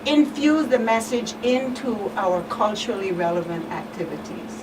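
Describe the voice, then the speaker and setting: A woman speaking as a guest expert on a talk show, in a measured, emphatic, conversational way. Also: fairly high pitch, expressive. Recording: distant mic, clear background noise.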